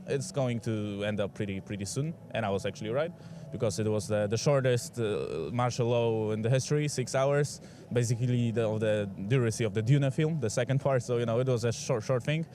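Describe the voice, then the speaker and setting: Man amid clear traffic noise. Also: medium pitch, mellow. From Poland. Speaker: tourist interviewee. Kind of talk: casual anecdotal interview answer.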